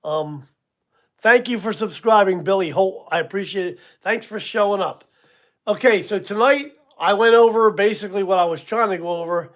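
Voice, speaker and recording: Man, 60s, relaxed livestream host, higher-pitched voice, rambling and chuckly. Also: moderate pace. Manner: casual, rambling, chuckles at himself, many ums. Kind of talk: live stream chat with viewers.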